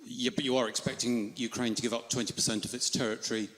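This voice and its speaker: A man from Europe questioning a speaker in a live political debate, disagreeing in a polite, measured but insistent way. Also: medium pitch, flat delivery, a bit bright. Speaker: audience member questioning the speaker. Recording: reverberant hall microphone.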